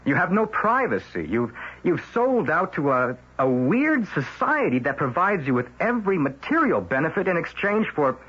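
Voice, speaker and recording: An actor playing a stubborn, suspicious male newspaper reporter in a radio drama, talking fast and combative. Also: on the high side, sing-song, husky. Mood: argumentative. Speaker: lead character in radio drama. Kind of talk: 1970s radio drama acting. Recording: muffled old radio broadcast.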